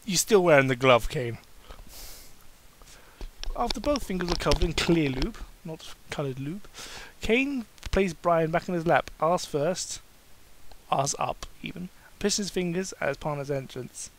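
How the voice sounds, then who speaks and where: A man's voice: on the high side, animated, moderate pace, slight background noise, poor audio. A man reading a story aloud as an amateur, in a comedic dramatic style, stumbling over words and breaking off for asides. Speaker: amateur fan fiction reader.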